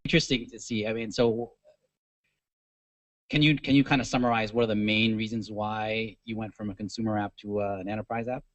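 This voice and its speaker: A man asking a halting, off-the-cuff question as an audience member at a conference. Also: fairly low pitch, fairly even intonation, fairly bright tone, dry recording. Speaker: audience questioner from an app company. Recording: muffled, lo-fi event recording.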